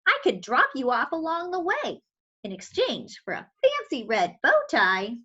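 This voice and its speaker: A woman storyteller voices a friendly male orca who likes a bargain. The delivery is measured and emphatic, bright and full of lively pitch movement. It belongs in a children's storybook. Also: husky, lo-fi sound, full character voice. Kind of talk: children's storybook read-aloud.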